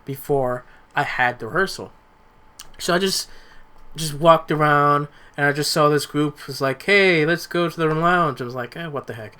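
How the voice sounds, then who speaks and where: Guy on a podcast with a bright voice, kinda rambling and halting, just telling stories casually. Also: fairly high pitch. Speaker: podcast host. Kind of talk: casual anecdotal chat.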